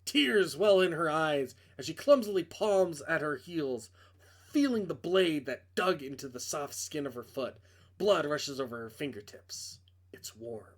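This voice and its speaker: A man's voice: on the high side, expressive, a little slow. A man reading a visual novel aloud as narrator, in a dramatic scripted storytelling style.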